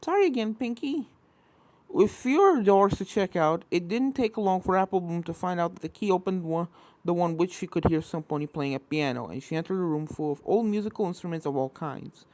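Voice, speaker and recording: A woman's voice: on the low side, brisk, a little mellow, no room sound. A woman reading a scripted fan fiction story as narrator, with spooky video game music behind her.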